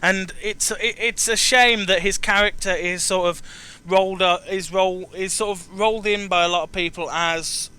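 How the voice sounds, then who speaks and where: A man's voice: sits high, steady tone, moderate pace, pushed and bright, smooth. A man in his twenties hosting a casual chat about games, earnest and often repeating and rephrasing himself mid-thought. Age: 20s. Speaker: interviewer and show host.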